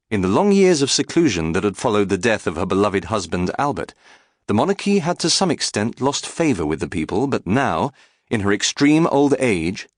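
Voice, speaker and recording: A man narrates a biography in a fairly low voice. His delivery is measured and formal, with clearly articulated phrases and plenty of movement in pitch. The sound is dry, with no room around it. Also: no room sound. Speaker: audiobook narrator.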